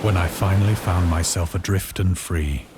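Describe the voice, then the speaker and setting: Guy acting as a despairing ex-sailor telling his horror story, really low voice, grave and measured, loud stormy rain noise behind. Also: fairly even intonation, natural voice. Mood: somber recollection. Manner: grave, measured, formal literary delivery. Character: despairing morphine-addicted former ship's officer recounting horror. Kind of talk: dramatic first-person horror narration.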